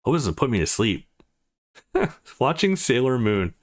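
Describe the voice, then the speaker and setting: A man hosts a let's play, reading visual novel dialogue out loud. His voice sits at a medium pitch and swings very widely up and down as he acts out the lines. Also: sing-song, unhurried.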